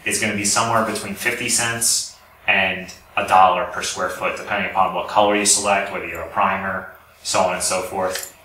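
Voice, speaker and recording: A man's voice: sits low, level tone, brisk, pushed and bright. An American man presenting informally and answering audience questions in a conversational, explanatory way. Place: echoey lecture room with an audience. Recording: roomy, echoey hall, distant mic, faint noise.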